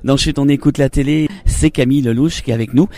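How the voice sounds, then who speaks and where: A man's voice: mid-range voice, fairly even intonation, fast, mellow. A man hosting a radio show, presenting and interviewing guests.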